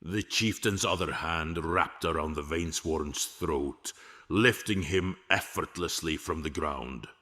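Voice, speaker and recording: A man's voice: rumbling low, slow pace, bright, forceful tone. A man narrating an epic fantasy story in a dramatic, scripted storytelling style. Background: music.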